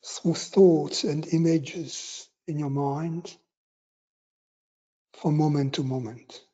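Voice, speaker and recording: A man teaching meditation, giving guided instructions in short, unhurried phrases with long pauses between them. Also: a little high, steady tone, dark tone, highs cut off.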